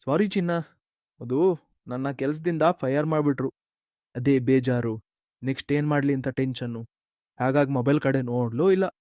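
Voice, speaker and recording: A man's voice: mid-range voice, moderate pace, darkish tone, dry recording, studio quality, natural voice. A man with an Indian accent playing an earnest, dejected young employee, apologetic and hesitant, in short-film drama dialogue. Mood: weary, placating.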